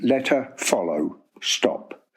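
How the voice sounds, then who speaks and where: A man reading official historical correspondence aloud in a measured, formal manner. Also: mid-range voice, expressive, fairly bright tone, slightly rough, low-quality recording. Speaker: reader of historical documents.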